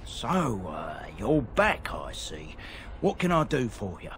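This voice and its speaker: A man's voice: lowish voice, huge pitch swings, slow pace, creaky, fairly bright tone, slight background noise, exaggerated voice. An actor playing a cowardly, nervous male merchant in a fantasy game, hesitant and evasive. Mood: wary. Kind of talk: video game voice acting.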